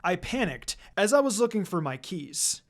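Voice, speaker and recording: Fanfic reader narrating in first person, mid pitch, really animated and expressive. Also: moderate pace. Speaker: fan fiction reader.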